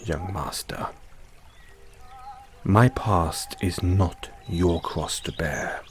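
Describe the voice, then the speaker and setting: Deep voice, unhurried delivery, man acting as devoted butler, formal, solemn, deferential, audio roleplay, faint background noise. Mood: gentle, earnest. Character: formal, devoted male butler with a painful past. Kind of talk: scripted audio roleplay, addressing the listener.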